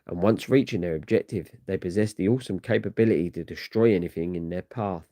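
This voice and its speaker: Low, mellow man narrating dramatically. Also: fast. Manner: theatrical, grandiose. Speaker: narrator of a comic read-along. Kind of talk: dramatic scripted storytelling narration.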